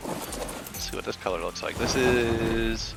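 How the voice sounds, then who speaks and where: A man's voice: fairly low pitch, slow, creaky, music in the background. A man streaming a video game, chatting casually as he plays. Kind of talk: casual gaming chat.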